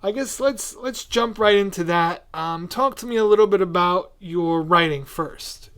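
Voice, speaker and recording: A man hosting a podcast in a casual, informal and excitable way. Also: sits high, a little slow, clean tone. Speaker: podcast host.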